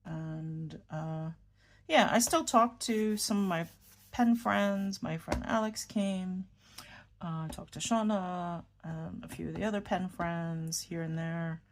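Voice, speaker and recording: A woman's voice: on the low side, slow pace, a little hiss or hum. A woman from New York with a New York accent, chatting casually and rambling a little as she writes in a notebook. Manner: rambling, self-correcting, murmurs while writing. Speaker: fountain pen vlogger. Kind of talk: casual chat while writing.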